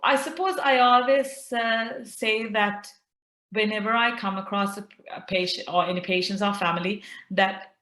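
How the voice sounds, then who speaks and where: Earnest, halting woman in an echoey room. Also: medium pitch, fairly bright tone. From Sri Lanka. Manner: hesitant, many fillers, earnest. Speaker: consultant obstetrician and gynaecologist. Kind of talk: interview answers to camera.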